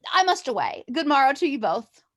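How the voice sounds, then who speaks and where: A woman's voice: sits high, big pitch swings, fairly quick, a bit bright. A woman playing a bossy, confident teenage girl in a mock-Shakespearean staged reading, with haughty, theatrical archaic speech. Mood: breezy.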